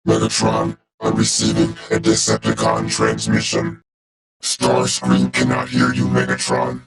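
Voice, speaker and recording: A man voicing a cold, logical giant robot officer in a video game, with a gravelly synthetic monotone, a robotic filter and clipped, formal, deadpan delivery. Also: medium pitch, unhurried. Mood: cold, calm. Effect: robotic vocoder filter. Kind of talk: video game character voice acting.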